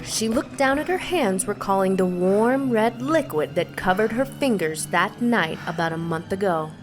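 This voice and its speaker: A woman reading a scripted suspense story as its narrator. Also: medium pitch.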